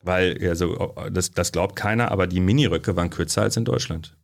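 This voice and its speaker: A man from Germany, a sociologist guest, telling stories in a relaxed studio interview, with lots of fillers. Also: low-pitched, fairly quick, gravelly. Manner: chatty storyteller, frequent fillers.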